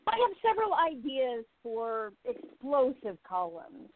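Sassy, lively flapper columnist played by a woman. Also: high-pitched, a little slow, heavily acted. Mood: confident, cool. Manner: sassy, breezy, self-assured. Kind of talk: 1920s radio play acting. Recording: tinny narrow-band phone-like audio.

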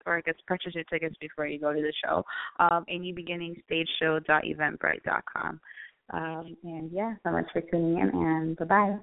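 A woman's voice: lowish voice, gravelly. A woman hosting a show with casual, informal interview chat and a slangy touch. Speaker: radio show host. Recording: tinny phone-line radio sound.

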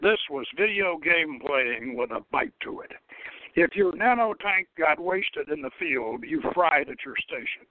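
A man's voice: on the high side, animated, unhurried, no echo. A man giving a dramatic reading of satirical science fiction, stumbling over words now and then and correcting himself. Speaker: host reading his own novel. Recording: lo-fi phone-like radio line.

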